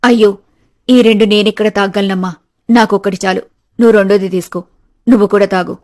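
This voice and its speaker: A woman voicing a confident, motherly and slightly crafty middle-aged aunt in an animated story. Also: mid-range voice, fairly quick, dry recording, studio quality, close to an everyday voice. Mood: motherly, friendly. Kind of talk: animated moral story dialogue.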